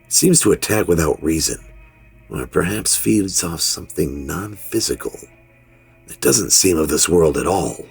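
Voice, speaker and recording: Man playing a gravelly, very deep-voiced weary father. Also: full character voice. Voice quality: low, gravelly. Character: weary, fearful middle-aged father confessing creature research. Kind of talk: first-person horror story narration.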